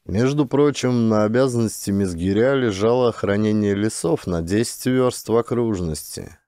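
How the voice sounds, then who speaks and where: A man narrating a scripted audiobook in a measured, expressive storytelling manner. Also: lowish voice.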